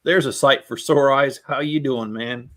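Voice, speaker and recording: A man's voice: medium pitch, fairly even intonation, measured pace, a bit bright, a little hiss or hum. A middle-aged man hosting a casual livestream, chatting with viewers in a rambling, self-deprecating, joking way. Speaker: Lego building livestream host. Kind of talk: casual chat with live viewers.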